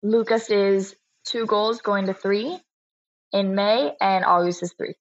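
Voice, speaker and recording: An 18-year-old woman from Florida answering interview questions, soft-spoken and brief, with frequent small laughs. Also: mid-range voice, takes it slowly, dry recording, highs cut off. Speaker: guest, polo player.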